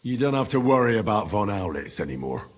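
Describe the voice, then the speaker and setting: A man's voice: lowish voice, big pitch swings, brisk. An actor playing a grim, vengeful young swordsman in a video game, blunt and threatening. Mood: cold anger. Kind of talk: dramatic video game acting. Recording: music underneath the dialogue.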